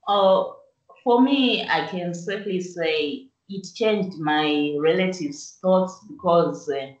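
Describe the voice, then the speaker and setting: A young woman from Zimbabwe talks slowly and fairly low over a video call. Her voice comes from a distance in a roomy space. The sound is dull and lo-fi. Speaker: musician and actress guest. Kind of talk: casual video-call interview. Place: small room indoors. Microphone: video call webcam.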